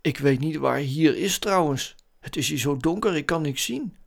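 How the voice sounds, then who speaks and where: A man's voice: on the high side, animated, moderate pace, vocal fry. A man voicing a naive, lonely creature from space in a children's story. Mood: bewildered.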